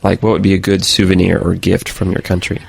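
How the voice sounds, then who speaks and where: A man hosting an interview, asking slow, clear questions and repeating the answers back to check them. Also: sits low, completely flat delivery, no echo. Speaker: host interviewer.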